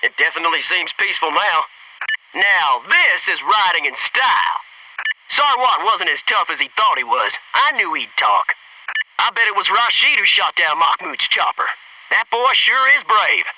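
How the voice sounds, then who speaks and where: An actor playing a brash, wisecracking young American Navy SEAL in a military shooter game, with a cocky, folksy, punchy delivery. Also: male voice, high voice, big pitch swings, moderate pace, pushed and bright, distant mic, slight background noise, exaggerated voice, poor audio. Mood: wry, cocky.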